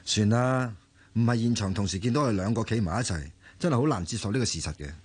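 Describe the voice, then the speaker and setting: A man's voice: fairly low pitch, quick pace, darkish tone, husky. A male actor playing an earnest, deceived ordinary man in a radio drama, blunt and indignant, with a Hong Kong Cantonese accent. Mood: baffled, resigned.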